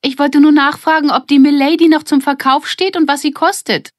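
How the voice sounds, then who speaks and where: A woman's voice: sits high, steady tone, brisk, no room sound, studio quality, voice pushed up, close to an everyday voice. A woman voicing a girl who poses as a formal grown-up lady, with an affected, disguised voice, in a children's audiobook. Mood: put-on politeness.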